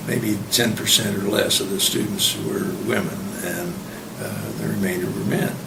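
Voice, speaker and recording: An elderly man with a low, gravelly voice reflects in an interview in a halting way, circling back mid-sentence. He is some way from the microphone in a roomy space, with clear noise behind him. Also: steady tone. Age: 70s or older. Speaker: university professor of finance. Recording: roomy, distant mic, clear background noise.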